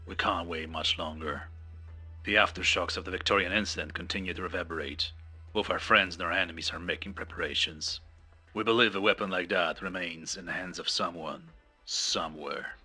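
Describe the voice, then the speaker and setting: Casting: male actor as stern, scheming colonel, game fan dub, low gravelly rasp, weighty delivery. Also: brisk, bright, forceful tone, faint music behind, exaggerated voice. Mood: grave, ominous. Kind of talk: amateur fan dub game drama acting.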